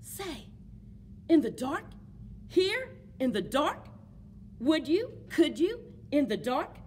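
A woman's voice: high voice, very animated, takes it slowly, darkish tone, slight background noise, voice pushed up, full character voice. A woman voicing a pushy, eager storybook creature who coaxes with insistent, rhyming questions. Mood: eager insisting. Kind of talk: rhyming picture-book read-aloud. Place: public library children's book corner.

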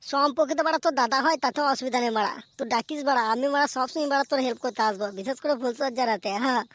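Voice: high voice, racing, fairly bright tone, dry recording. A performer voicing a cheeky, crude, boastful younger brother in a slapstick comedy cartoon. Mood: teasing, eager.

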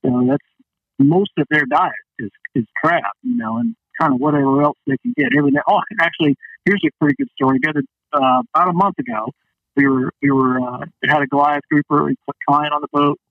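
A man's voice: a little high, animated, quick pace, creaky, dry recording. A man chatting casually as a guest fishing captain over the phone, folksy and full of "you know". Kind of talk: casual storytelling interview chat. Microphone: phone call. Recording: dull band-limited phone line.

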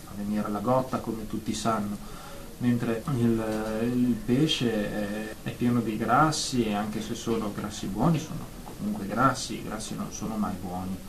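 A man's voice: lowish voice, steady tone, measured pace, lightly acted. An actor playing an anxious, phobic male therapy patient in a radio drama, stammering hesitantly and repeating words. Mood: nervous, hesitant. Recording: roomy echo, faint background noise.

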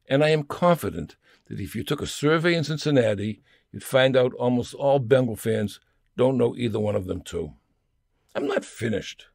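A man's voice: mid-range voice, darkish tone, no echo, clean studio sound. A man reading his own scripted comic essay in a wry, mock-serious manner.

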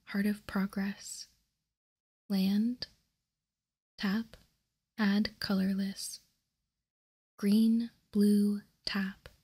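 A woman whispering softly for ASMR, reading aloud in deliberate, measured short phrases close to the microphone. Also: mid-range voice. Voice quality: soft, breathy whisper. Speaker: ASMR video host reading trading cards. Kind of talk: whispered ASMR, slow card read-out.

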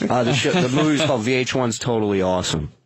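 A man's voice: medium pitch, expressive, fast, breathy edge, no echo, rough recording. Regular mid voice guy, radio panel chat, casual and into it. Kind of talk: casual, enthusiastic studio chat.

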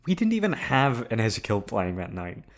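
Man acting as a low-voiced, playful college guy. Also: huge pitch swings, normal speed. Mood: excited. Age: young adult.

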